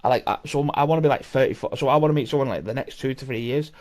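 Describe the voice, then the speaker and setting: A man in his thirties hosts a laid-back podcast chat. He swears freely and rambles, often restarting his sentences. His voice sits at an ordinary middle pitch. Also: rapid-fire, a little mellow. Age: 30s. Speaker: podcast host. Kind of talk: casual chat.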